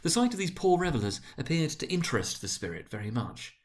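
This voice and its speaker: A man reading classic prose aloud as a storyteller, in an expressive, deliberate, dramatic narrating manner. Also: fairly high pitch. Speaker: narrator, reader.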